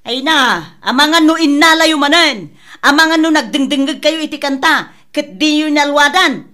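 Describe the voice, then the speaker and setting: A woman playing a quick-tempered, loud-mouthed household nag who scolds and complains in a comedy radio drama. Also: sits high. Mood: scolding.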